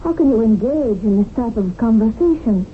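A woman's voice: a little high, expressive, moderate pace, natural voice. A woman playing a stern, doctrinaire revolutionary in a radio drama, clipped and humorless. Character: stern, doctrinaire female revolutionary guarding a captive. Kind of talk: 1970s radio drama acting. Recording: muffled, noisy 1970s radio broadcast.

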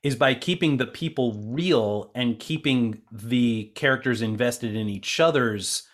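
A man's voice: medium pitch, a little slow. Reflective man hosting a conversational interview. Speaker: interviewer and co-producer, theater actor.

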